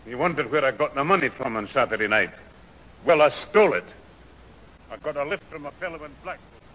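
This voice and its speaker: A man playing an irascible, long-suffering old grandfather with a Scottish accent in a 1970s radio sitcom, his voice husky. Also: medium pitch, expressive, fairly quick, sharp tone, a little hiss or hum. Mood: resigned. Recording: reverberant old radio hall recording.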